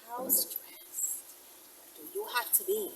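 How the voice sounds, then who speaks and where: A woman's voice: slow pace, a bit bright, low-quality recording. A woman with a Bahamian accent chatting casually and bluntly to her viewers as host of her own channel, in informal language. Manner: blunt, informal, sprinkled with swearing. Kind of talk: casual, candid advice chat to viewers.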